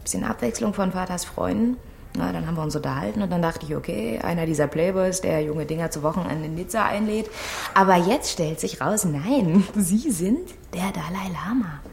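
A woman's voice: lowish voice, lively intonation, fairly quick, vocal fry, darkish tone, slight background noise. An actress playing a rich businessman's sardonic, outspoken daughter in a radio crime drama, with a blunt, mocking way of talking. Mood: teasing, mocking.

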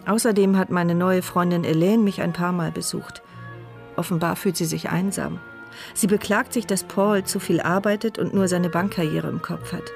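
An actress playing a paralysed, observant young woman who narrates her inner thoughts in a measured, articulate radio drama monologue. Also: lowish voice, steady tone, vocal fry, darkish tone, soft background music. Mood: musing.

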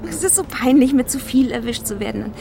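A woman's voice: high voice, fast talker, creaky. Shy flirty stewardess, kinda hesitant, music behind her. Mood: coaxing.

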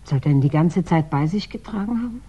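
A woman's voice: low-pitched, animated, brisk, dark tone, breathy edge. An actress playing a serious, inquisitive married woman, polite and questioning, in a 1960s German radio crime drama. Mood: puzzled. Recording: dull, muffled 1960s radio recording.

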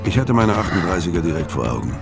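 Low-voiced hard-boiled hitman narrator. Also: sing-song, quick pace, gravelly, exaggerated voice. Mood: grim, terse. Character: hard-boiled male hitman narrating his bloody escape. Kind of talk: scripted first-person noir radio drama narration. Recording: music behind the voice.

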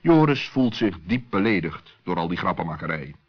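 A man narrating a children's story as an expressive storyteller. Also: low voice, normal speed, husky. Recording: dull, lo-fi old recording.

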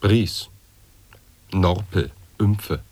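An actor playing a terse man who deliberately intones absurd phrases in a radio play. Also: deep voice, darkish tone, breathy edge, full character voice. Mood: intoning. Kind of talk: avant-garde radio play recitation.

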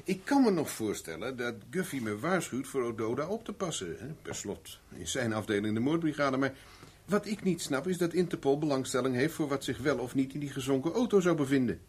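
An actor playing a wry, unruffled private investigator in a 1970s Dutch radio play, bantering casually with a sardonic edge. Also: male voice, mid-range voice, expressive, a little fast, few pauses, close to an everyday voice. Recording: noisy vintage radio drama recording.

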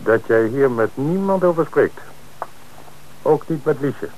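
An actor playing a calm, authoritative police commissioner in an old radio play, on a noisy old radio recording. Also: male voice, medium pitch, muffled recording, close to an everyday voice. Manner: measured, authoritative. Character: middle-aged male police commissioner, calm and dry. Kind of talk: 1960s radio play acting.

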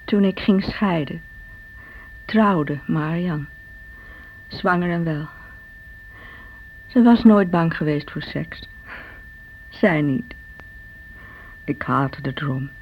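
A woman's voice: low voice, takes it slowly, pauses often. An actress playing an envious, bitter sister, confiding her brooding recollections in a radio drama. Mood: bitter envy. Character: Irene, envious, bitter divorced sister, self-doubting. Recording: dull radio recording with faint hum.

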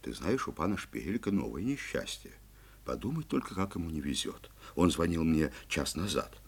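Deep, husky, wildly expressive forester dad. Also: male voice, moderate pace, full character voice. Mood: astonished, explaining. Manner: emphatic storytelling to a child, astonished. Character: kindly male forester, marvelling father of a boy. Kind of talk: 1970s Soviet audio play acting.

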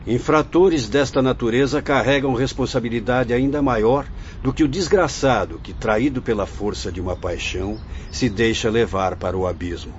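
An actor playing a wise, solemn spirit mentor in a radio drama, instructing in formal, preachy phrases over music. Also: male voice, medium pitch, moderate pace, hardly any pauses, slight background noise, lightly acted. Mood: grave, instructing. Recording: dull lo-fi audio under music.

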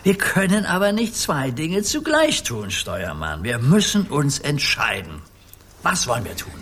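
A man's voice: mid-range voice, huge pitch swings, a little slow, fairly bright tone, breathy edge, heavily acted. An actor playing an old grandfather sea captain in a children's radio play, teasing and dry in his banter. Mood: playful. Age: older.

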